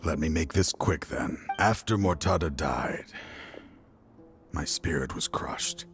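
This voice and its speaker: An actor playing a weary, remorseful middle-aged father and former partner in a video game, speaking in a grave, measured, confiding way. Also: extremely low pitch, huge pitch swings, soft background music, full character voice. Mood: remorseful, resolute. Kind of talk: dramatic video game voice acting.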